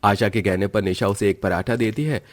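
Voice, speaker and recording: A man's voice: fairly low pitch, fairly even intonation, normal speed, no echo, studio quality. A man reading scripted narration for a cartoon family story.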